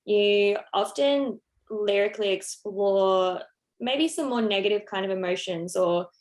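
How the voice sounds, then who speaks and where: Woman interviewer asking relaxed questions. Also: medium pitch, unhurried.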